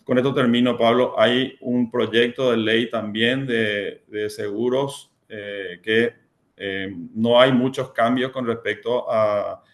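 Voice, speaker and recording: A man presents as a panelist at a conference. He speaks at an average pitch. His delivery is halting, with frequent fillers and restarts. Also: steady tone. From Paraguay. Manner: hesitant, many fillers and repeated words.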